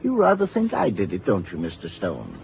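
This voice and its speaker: A man's voice: deep voice, huge pitch swings, brisk, vocal fry, heavily acted. An actor playing a bitter, tipsy musician in his forties, slightly thick-tongued, florid and sardonic, in a 1950s radio drama. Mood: bitter, sly. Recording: narrow, hissy 1950s radio recording.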